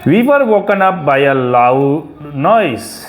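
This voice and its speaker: A woman teaching English grammar, reading sentences slowly and clearly for a student to repeat. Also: deep voice, sing-song, faint music behind. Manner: slow, clear dictation of sentences. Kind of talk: repeat-after-me grammar drill.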